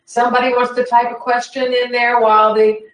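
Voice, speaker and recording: A woman's voice: fairly high pitch, level tone, a little fast. A woman co-hosting an online Bible study, chatting casually and in an easygoing way. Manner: chatty and easygoing, laughing often. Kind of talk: casual chat. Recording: echoey room, distant from the mic.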